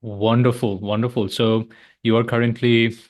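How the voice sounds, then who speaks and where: A man's voice: medium pitch, level tone, normal speed, a little mellow. A man hosting a podcast interview, asking long questions and answering replies with encouraging words like wonderful. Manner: encouraging, says "wonderful" often. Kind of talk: conversational interview.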